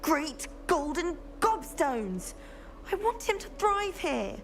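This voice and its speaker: A woman's voice: high voice, big pitch swings, slow pace, over music, full character voice. An actress playing a bubbly, plant-loving teenage witch student in a video game, with a chatty, gushing manner. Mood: fretful, earnest. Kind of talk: video game dialogue acting.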